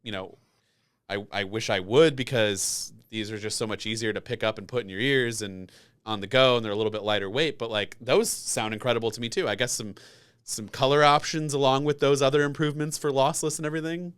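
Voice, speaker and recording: A man's voice: mid-range voice, a little fast, fairly bright tone, clean tone. Male podcast host, clean resonant voice, informal and rambling conversational delivery. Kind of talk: casual tech podcast chat.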